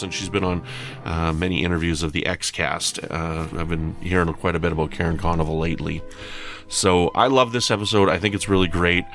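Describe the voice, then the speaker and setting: A man with a low voice gives a casual, spoken review as a listener. He talks hesitantly and rambles, with music clearly present in the recording. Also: a bit bright. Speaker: listener leaving feedback for a podcast.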